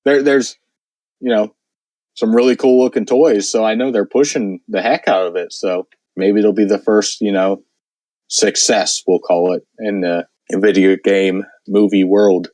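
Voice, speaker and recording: A man with a lowish voice chats casually on a podcast, rambling and leaning on fillers. His voice sounds worn and hoarse. The sound is dry and close. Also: steady tone, a little slow, darkish tone, no room sound, rough recording. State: losing his voice. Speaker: podcast host. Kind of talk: casual chat.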